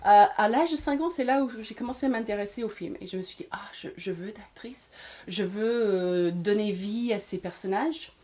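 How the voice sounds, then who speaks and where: A woman in her forties with a mellow, warm voice, guest on an interview. She tells her own story in a relaxed way, stopping often to search for words. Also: medium pitch, normal speed. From Washington State, USA. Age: 40s. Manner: hesitant, many fillers, storytelling. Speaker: guest, English teacher and actress. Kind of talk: casual interview.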